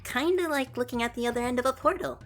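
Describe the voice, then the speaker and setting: A woman voicing a gentle, earnest young prince who explains things patiently and is eager to help, in an audio drama. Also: sits high, quick pace, faint music behind, lightly acted. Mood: reassuring, explaining. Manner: soft-hearted, patiently explaining.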